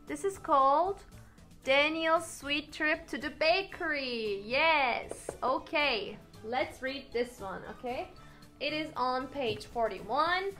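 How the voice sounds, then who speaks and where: A young woman with a Turkish accent reads a picture book to small children in a sing-song, playful voice. She speaks very slowly and sits fairly high in pitch. Faint music plays behind her in a small room. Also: expressive, bright, forceful tone. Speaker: English teacher. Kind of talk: reading a picture book aloud to toddlers.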